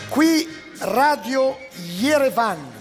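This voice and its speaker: A man's voice: extremely high pitch, expressive, extremely slow, pitched above the performer's own voice, full character voice. A man voicing a pompous Soviet Armenian radio announcer in a declamatory, formulaic official manner, as satirical stage parody. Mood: ceremonious. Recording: long reverberant hall, music behind.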